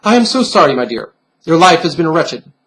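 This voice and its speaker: A man's voice: on the high side, big pitch swings, fairly quick, fairly bright tone. A man voicing a stern, patronizing bunny pin who lectures in formal phrases in a storybook reading.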